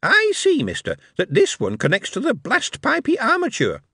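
A little goblin for a storybook, pitched very high and squeaky. The voice is tiny, staccato and bursting with eager curiosity, with big swoops in pitch. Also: male voice, wildly swooping pitch, moderate pace, pushed and bright, slightly rough, dry recording, studio quality, exaggerated voice. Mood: eager, curious.

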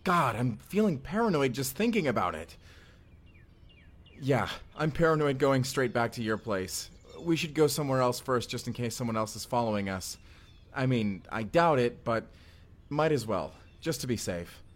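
A man's voice: medium pitch, animated, normal speed, close to an everyday voice. A man playing a smitten, slightly nervous young husband from the future in an intimate audio roleplay, talking in a casual, hesitant way. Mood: paranoid, uneasy. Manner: casual, hesitant, sentimental, rambling. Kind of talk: first-person immersive audio roleplay, speaking intimately to the listener.

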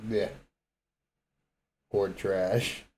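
A man's voice: low voice, flat delivery, extremely slow, a little mellow, a little hiss or hum. A man co-hosting a casual gaming podcast, speaking in brief, agreeing interjections. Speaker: podcast co-host.

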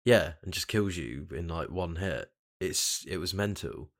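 A British man hosting a casual gaming chat, his voice a little croaky and groggy after a night out. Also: low-pitched, animated, a little slow. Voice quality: slightly croaky. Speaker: podcast host. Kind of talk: casual chat about video games.

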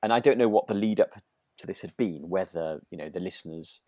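A man's voice: low-pitched, brisk, darkish tone, no echo, clean studio sound. A British man co-hosting a podcast, chatting casually and ramblingly, often rephrasing as he goes. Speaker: podcast co-host. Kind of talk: casual chat about a radio drama.

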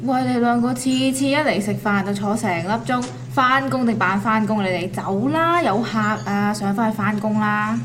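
An actress with a Hong Kong accent playing a brusque, bantering waitress at a local eatery in an audio drama. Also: female voice, medium pitch, moderate pace, hardly any pauses, a bit bright, slight background noise, lightly acted. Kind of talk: Cantonese audio drama acting.

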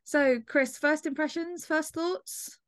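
A woman's voice: high voice, steady tone, slow pace. Woman hosting an arts podcast. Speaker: podcast host. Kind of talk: conversational review of an audio play.

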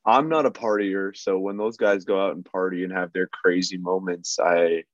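A man's voice: sits low, steady tone, moderate pace, no echo. A man who plays in a band, casually telling profane, self-deprecating anecdotes as an interview guest. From Canada. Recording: dull lo-fi sound.

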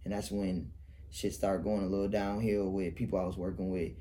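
Laid-back young Detroit man, dark voice. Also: low voice, level tone, fairly quick, a little hiss or hum. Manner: laid-back, rambling, laughs often. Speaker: rapper guest. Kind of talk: casual interview talk.

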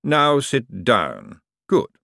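A man's voice: mid-range voice, wildly swooping pitch, gravelly, pushed and bright, no room sound, clean studio sound, heavily acted. A man acting an urbane, coolly menacing lord. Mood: coolly commanding. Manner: measured, formal, drily ironic. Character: urbane, calmly menacing ruling lord, dry and precise.

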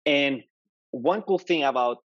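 A man's voice: medium pitch, lively intonation, measured pace, no echo, lo-fi sound. A man from Mexico with a Mexican Spanish accent, speaking as a guest in a casual tech interview, enthusiastic and often checking in with "right?". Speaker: developer advocate guest.

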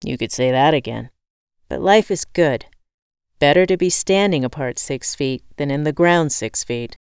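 A serene, friendly tradesman, played by a woman narrator in an audiobook. He sounds earnest and cheerful, fairly low for a female voice, with a dry close recording. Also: expressive, moderate pace, darkish tone, smooth, dry recording. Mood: upbeat. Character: beaming, serene male locksmith keen on meditation. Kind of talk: acted audiobook dialogue.

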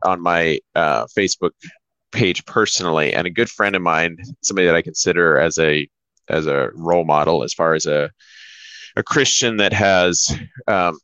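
A man's voice: low-pitched, normal speed, fairly bright tone, no room sound. A man co-hosting a church talk show, chatting casually in a rambling way. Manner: rambling, many fillers and restarts. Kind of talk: casual chat.

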